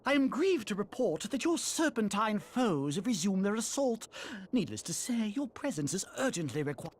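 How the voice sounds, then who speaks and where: A woman's voice: medium pitch, sing-song, normal speed. A woman playing a formal, grim female knight officer in a fantasy video game drama. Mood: urgent, formal.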